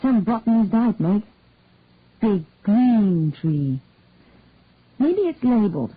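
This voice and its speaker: A woman voicing a cheerful young mother who sounds bright and a little forced. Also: medium pitch, lively intonation, slow, breathy edge. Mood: wry. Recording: tinny phone-like dry sound.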